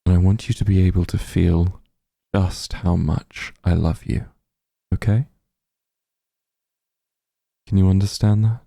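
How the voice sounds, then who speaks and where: A man playing a doting demon lord in an ASMR roleplay, speaking soothingly and tenderly in a low, husky, gravelly voice. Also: fairly even intonation, a little slow, pauses often, dry recording, studio quality, heavily acted. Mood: soothing, comforting. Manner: soothing, tender, gently coaxing. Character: doting demon lord, shapeshifted into soft strong form. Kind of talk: ASMR roleplay, intimate comforting monologue.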